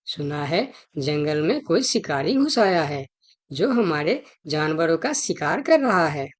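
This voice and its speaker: A woman's voice: low-pitched, sing-song, a little slow, full character voice. A woman voicing a clever female fox in a Hindi children's cartoon, persuasive and insistent as she pleads her case.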